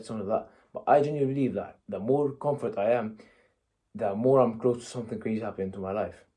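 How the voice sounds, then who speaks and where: A man's voice: fairly low pitch, dark tone. A young man from Egypt giving a blunt, casual motivational talk, peppering it with "you know" and "yeah?". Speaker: motivational vlogger.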